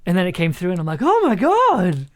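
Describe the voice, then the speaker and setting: A woman's voice: fairly low pitch, wildly swooping pitch, fairly quick. A woman chatting casually and playfully on a livestream, thinking aloud as she edits audio. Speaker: streamer and sound designer. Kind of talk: casual livestream commentary while editing audio.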